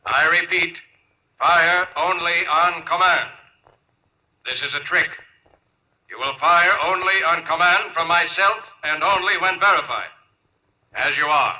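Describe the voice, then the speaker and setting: An actor playing a suspicious, domineering spaceship captain in a 1950s radio drama, sardonic and commanding. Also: male voice, on the high side, a little slow, pauses often, sharp tone, heavily acted. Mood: firm, wary. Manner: sardonic, domineering, clipped orders. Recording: noisy, dull 1950s radio recording.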